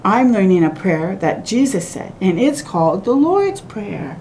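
A woman's voice: very animated, unhurried, a little mellow. A middle-aged woman reading a children's picture book aloud with asides, in simple sing-song phrasing addressed to little ones. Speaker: children's storytime reader. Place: small room at home, by a window. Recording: clear background noise with low rumble.

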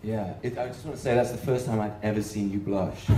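A man's voice: on the low side, sing-song, a little slow, a crowd in the background. A man on a convention panel, teasing the host as he answers. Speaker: actor on a panel. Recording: echoey tent with noise.

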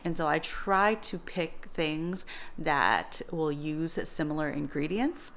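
Low-pitched woman with a creaky voice. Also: slow pace, a bit bright, slight background noise. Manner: informal, conversational, explanatory. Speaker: home cooking vlogger. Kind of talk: casual vlog explanation to camera.

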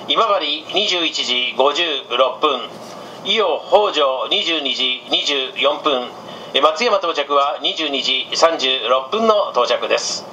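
A male train conductor gives formal, routine announcements in a bright, forward voice pitched a little high. He sounds polite and practised, coming over the cabin PA with clear train noise behind him. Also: poor audio. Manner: polite, formulaic, reciting station lists.

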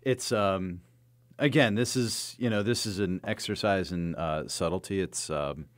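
A man with a low voice talks as a guest in a casual interview. He is laid-back and a little hesitant, with a wry edge. The recording is close and dry. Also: a little slow, no echo. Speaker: guest, brewery head brewer. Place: small radio studio. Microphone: close studio microphone.

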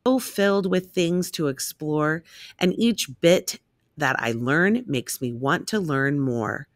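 A woman reading a rhyming children's picture book aloud in an expressive, measured storytelling manner. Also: deep voice, no room sound, studio quality.

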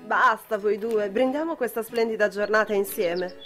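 A woman's voice: medium pitch, normal speed, soft background music, natural voice. A young woman, a student actor playing an affectionate, coaxing wife in an amateur radio drama. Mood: brisk, calling out. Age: young adult. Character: affectionate, soothing young-looking wife, Natura.

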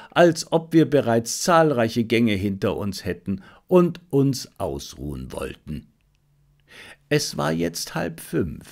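A man's voice: a little high, darkish tone. A man reading a detective story aloud as scripted, dramatic audiobook narration.

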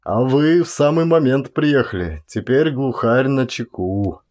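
A man's voice: medium pitch, a little slow, a little mellow, voice pushed up, lightly acted. A man voicing a staid, hospitable rustic forest warden with folksy, sedate speech in an audiobook. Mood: folksy, pleased.

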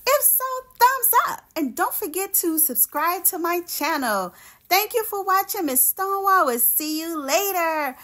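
A woman with a very high voice reads a picture book to children. She takes her time, speaking slowly and deliberately, with the animated delivery of a teacher at story time. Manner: slow, deliberate, animated storytelling for young listeners. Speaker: teacher reading picture books aloud to children. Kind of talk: expressive children's storybook read-aloud.

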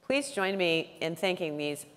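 Moderator, woman; deep, loose and chatty; stage mic with long hall reverb. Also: animated, unhurried, a bit bright, a little hiss or hum. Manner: casual, rambling, filler-heavy. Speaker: panel moderator. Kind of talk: panel discussion. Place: large conference hall stage. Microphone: handheld mic.

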